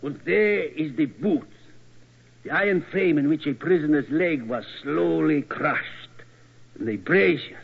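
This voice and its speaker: An actor playing a polite German castle guide with a grim, deliberate, dramatic manner in an old radio play. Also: male voice, on the high side, lively intonation, gravelly, husky, full character voice. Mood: grimly dramatic. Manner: deliberate, inverted foreign word order. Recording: dull old radio recording.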